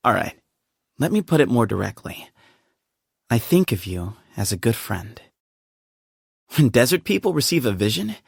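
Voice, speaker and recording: An easygoing young desert leader. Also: male voice, mid-range voice, creaky, dry recording, studio quality. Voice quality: lively, breezy, chuckling. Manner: easygoing, friendly, teasing. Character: laid-back young man, desert temple leader, playful. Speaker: game character voice lines. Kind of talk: studio game voice acting. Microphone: studio mic.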